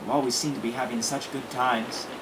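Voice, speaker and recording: A man plays a lonely, well-mannered teenage boy in a period audio drama. He speaks at a middling pitch, courteous and a little hesitant, with slight noise behind the voice. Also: flat delivery, fairly quick, a bit bright, close to an everyday voice, poor audio. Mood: shy, wistful. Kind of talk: audio play acting.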